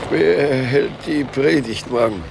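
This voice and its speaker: Creaky, irritable old husband. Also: male voice, medium pitch, takes it slowly, background noise. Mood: sardonic. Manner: curt, grumbling. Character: gruff, irritable, secretive elderly husband. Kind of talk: radio drama acting.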